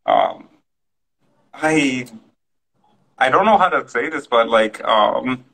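A man's voice: medium pitch, wildly swooping pitch, slow pace, gravelly, sharp tone, low-quality recording. A man speaking on a video call panel, hesitant and reflective, often searching for his words. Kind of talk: casual panel discussion. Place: room at home, indoors.

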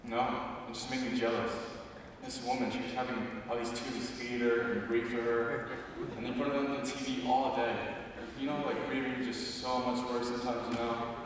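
A young man on a school stage playing Jeff, a lazy, sleepy applicant who talks in a flat, bored way. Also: mid-range voice, normal speed, slight background noise, close to an everyday voice. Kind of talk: stage play performance. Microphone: far audience camera. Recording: echoey hall, far from camera.

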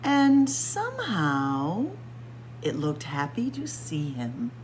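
A woman reads a spooky picture book aloud for children, her lowish voice swooping widely between hushed whispers and dramatic highs. She takes her time and savors each line. A faint hum sits under the voice. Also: huge pitch swings, slow, low-quality recording. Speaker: children's librarian. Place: library with bookshelves.

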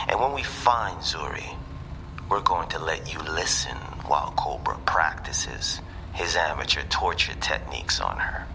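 An actor playing a smug, menacing kidnapper who gloats and taunts in an audio drama. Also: male voice, low-pitched, sharp tone, a little hiss or hum, rough recording.

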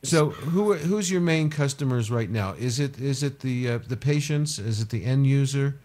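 A man's voice: mid-range voice, lively intonation, moderate pace, darkish tone. A man hosting a radio talk show from a home studio, asking questions with many fillers. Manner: filler-heavy, restarts questions. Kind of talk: interview questions.

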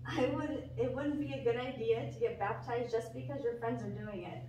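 A woman's voice: a little high, moderate pace, a little mellow, highs cut off, close to an everyday voice. A woman voicing a sensible, earnest, plain-spoken young puppet character in a children's church show. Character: sensible, dry, know-it-all young puppet friend. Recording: echoey, noisy room, distant mic.

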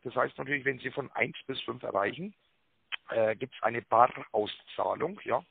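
A man giving a rehearsed telephone sales pitch, full of tag words and hesitations, with a raspy voice. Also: normal speed, a little hiss or hum. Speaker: telemarketing caller pitching a prize draw. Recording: narrow tinny phone line.

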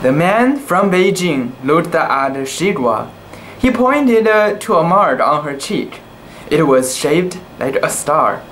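A young man reading a children's book aloud in a casual, halting way, with cooing asides about the pictures. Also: a little high, very animated, a bit bright, slight background noise. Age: young adult.

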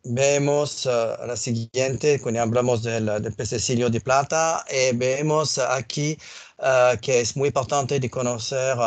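A man speaking Spanish as a second language with a foreign accent, presenting a technical webinar in a slow, halting way with frequent fillers. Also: mid-range voice, dry recording. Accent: non-native Spanish. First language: not Spanish.

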